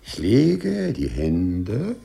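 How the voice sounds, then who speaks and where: An actor in a 1950s radio play playing a vengeful, mockingly obsequious clerk who speaks with theatrical deference and eccentric glee. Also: male voice, fairly low pitch, huge pitch swings, extremely slow, creaky, mellow, heavily acted. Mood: scheming, gleeful. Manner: theatrical, muttering to himself, exaggeratedly deferential.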